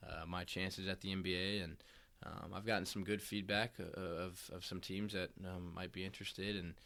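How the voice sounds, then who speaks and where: A young man with a low voice answers interview questions in an even, flat delivery. He talks haltingly and restarts his phrases, repeating words as he goes. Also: creaky. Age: young adult. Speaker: college basketball player.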